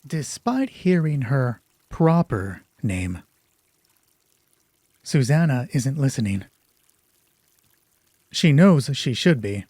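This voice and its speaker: A man giving dramatic, deliberate scripted narration as a storyteller, with a husky voice. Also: medium pitch, a little mellow, no echo, clean studio sound. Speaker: narrator and solo roleplay host.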